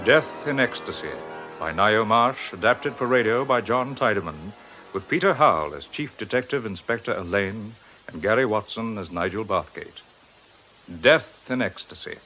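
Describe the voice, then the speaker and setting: A male announcer reads scripted radio drama credits. His voice is fairly low and bright, carried over music. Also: animated, moderate pace, low-quality recording.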